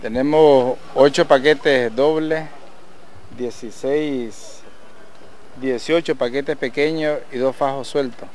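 Middle-aged police commander, outdoor roadside presser, mellow, measured official tone, faint ambient noise. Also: male voice, medium pitch, steady tone. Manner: measured, official, halting restarts. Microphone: handheld reporter mics.

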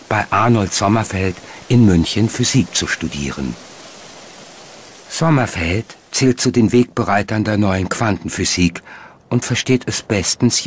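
Fairly low-voiced male documentary narrator. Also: slight background noise. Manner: measured, explanatory.

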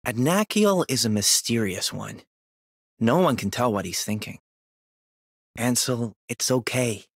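A man voicing a courteous, earnest young cat-like mage operative in a video game, speaking formally and with measured care. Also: fairly low pitch, fairly bright tone, no echo, clean studio sound. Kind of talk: English dub game voice lines.

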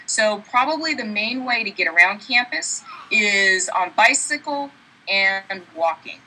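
Woman, from Portland, husky, bright tone, quick with fillers, webcam call. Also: medium pitch, expressive, poor audio. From Portland, Oregon. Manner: quick, hesitant, many fillers. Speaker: university representative. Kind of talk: casual promotional talk. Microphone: webcam on video call.

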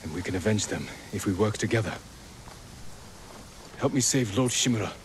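An actor playing a solemn, resolute samurai in a video game, speaking in a measured, formal way. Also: male voice, lowish voice, expressive, background noise, natural voice. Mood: resolute, urging. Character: solemn, resolute samurai warrior seeking allies. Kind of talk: video game drama acting. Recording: cinematic game music under dialogue.

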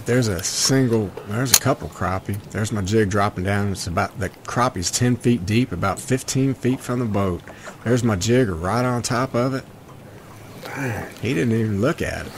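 A man's voice: lowish voice, normal speed. A middle-aged man giving enthusiastic, folksy running commentary while fishing, in a casual way. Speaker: fishing vlogger. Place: outdoors on a small open boat on a lake. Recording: clear outdoor wind and boat noise.

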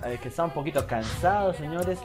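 A young man chats into a desk mic in a small room, his pitch swinging widely as he narrates his football game and answers viewers. He keeps calling his audience "señores." Quiet game music plays behind him. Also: lively intonation, fairly quick. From Colombia. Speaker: gaming YouTuber. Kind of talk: casual gameplay commentary.

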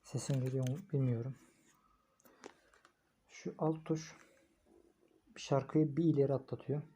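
A man's voice: medium pitch, steady tone, measured pace, mellow, slightly rough. A man giving a casual, hands-on product review, thinking aloud informally as he records on a phone. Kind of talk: casual hands-on unboxing and installation commentary.

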